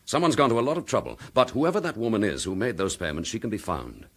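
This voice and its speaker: A dogged, defiant detective under accusation in a radio drama. His voice is low and husky, with lively movement in pitch. He speaks firmly and in measured phrases, and he holds his ground. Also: exaggerated voice. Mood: confident. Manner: firm, measured and indignant. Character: accused male chief inspector, dogged and defiant. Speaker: police detective.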